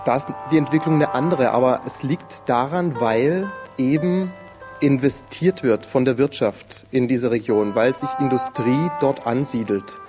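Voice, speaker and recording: A man's voice: medium pitch, unhurried. Man talking over guitar music. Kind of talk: spontaneous interview talk.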